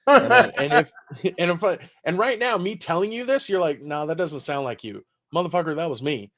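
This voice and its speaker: A man's voice: on the high side, expressive, a little fast, fairly bright tone. A man in his thirties from Texas, whose first language is German, talking in a rambling, casual way as a comedian guest on a podcast, swearing freely.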